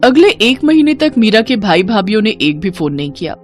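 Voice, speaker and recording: A woman's voice: medium pitch, sing-song, a little fast, faint music behind. A woman narrating a scripted cartoon family story.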